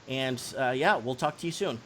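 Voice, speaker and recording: A man's voice: medium pitch, moderate pace, a bit bright, slight background noise, rough recording. A man hosting a casual livestream, talking in an informal, slangy, rambling way as he chats and reads comments and news aloud. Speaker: live show host.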